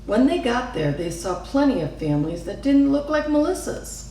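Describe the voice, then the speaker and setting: A woman reading a children's story aloud in an expressive narrating style. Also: high voice, normal speed. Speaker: storybook reader. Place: reverberant room, away from the mic. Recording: echoey room, distant mic, faint noise.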